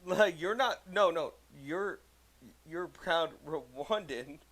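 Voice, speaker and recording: A man's voice: a little high, takes it slowly, a bit bright. A man in casual comedic podcast chat, speaking bluntly with slang and swearing. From United States.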